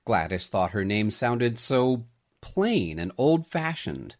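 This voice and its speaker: A man reading a scripted Christmas story aloud in an expressive storytelling manner. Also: mid-range voice, takes it slowly. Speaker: storyteller, podcast host.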